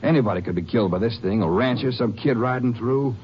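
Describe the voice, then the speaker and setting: A man's voice: mid-range voice, quick pace, lightly acted. Casting: man playing a folksy, colloquial Ranger sidekick, medium register, vintage radio serial, muffled and noisy. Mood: indignant, alarmed. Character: plain-spoken male Ranger sidekick who knows the country. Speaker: radio actor. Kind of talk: 1950s radio drama acting. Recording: noisy, muffled old-time radio recording.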